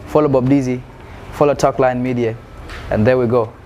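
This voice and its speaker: A man from South Sudan talks earnestly about his music career, often stumbling over and repeating words as he looks for the right phrase. His voice sits at an ordinary middle pitch. A steady hum of background noise runs under the recording. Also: normal speed. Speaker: musician guest. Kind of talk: casual interview answers.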